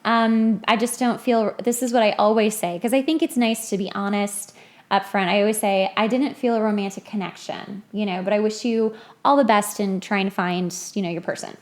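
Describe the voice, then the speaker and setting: A woman's voice: medium pitch, fairly even intonation, moderate pace. A woman hosting a podcast in casual conversation, playful and informal with slangy phrasing. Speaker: podcast host. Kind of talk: casual chat.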